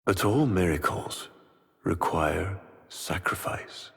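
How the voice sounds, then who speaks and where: An actor playing a cruel, arrogant, ancient aristocratic villain in a video game, speaking in a deliberate, refined, menacing and taunting way. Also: male voice, sits low, expressive, creaky, heavily acted. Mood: grave, brooding.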